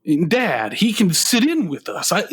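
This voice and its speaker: An actor playing a whiny, sulky adult son, a struggling musician, in a comedic radio play, with a plaintive, halting delivery. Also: male voice, sits high, big pitch swings, fairly bright tone, husky, exaggerated voice. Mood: shaken, earnest.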